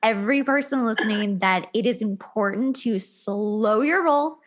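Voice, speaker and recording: Emphatic, higher-voiced woman chatting as host. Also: normal speed. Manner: emphatic, repeats words, acts out imagined reactions. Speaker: podcast host. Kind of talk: casual relationship advice talk with anecdotes.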